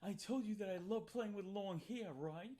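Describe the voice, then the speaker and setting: A woman informally reading a chat log out loud, play-acting the lines with mock sweetness. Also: medium pitch, normal speed. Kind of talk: informal read-aloud of a chat log with asides.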